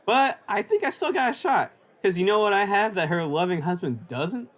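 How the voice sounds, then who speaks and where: A man's voice: sits high, sing-song, moderate pace. A man playing a cocky, scheming young war veteran with boastful delivery in old-time radio sketch comedy. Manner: cocksure, boastful.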